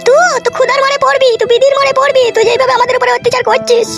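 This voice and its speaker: Squeaky, nagging village wife. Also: female voice, little pitch movement, rapid-fire, over music. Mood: accusing. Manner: nagging, accusing, coarse. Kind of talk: comic cartoon dialogue.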